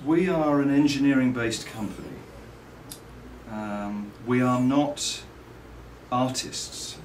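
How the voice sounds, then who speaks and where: A man's voice: mid-range voice, takes it slowly, darkish tone. Middle-aged Englishman in a roomy, distant recording. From England. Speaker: company owner and loudspeaker designer being interviewed. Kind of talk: reflective interview answers with anecdotes. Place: indoors at a trade show. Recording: roomy, distant mic, faint background noise.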